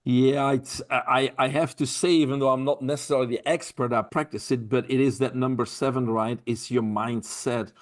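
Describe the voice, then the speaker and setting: A man's voice: mid-range voice, steady tone, moderate pace, hardly any pauses. A man with a Belgian accent, a health mentor speaking earnestly as a podcast guest, often repeating words as he thinks. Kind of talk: podcast interview.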